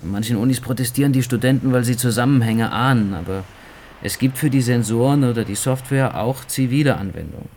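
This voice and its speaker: A man playing a sceptical, world-weary journalist, speaking with a creaky voice and wry, lecturing manner in a radio drama. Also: mid-range voice, brisk, darkish tone, a little hiss or hum, natural voice. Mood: cynical. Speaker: supporting actor.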